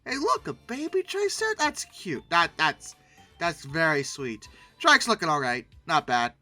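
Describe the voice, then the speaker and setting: A commentator giving casual, humorous commentary in a rambling, animated, mock-indignant way, with conversational asides. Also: medium pitch, a little slow, soft background music. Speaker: host reviewing old dinosaur illustrations.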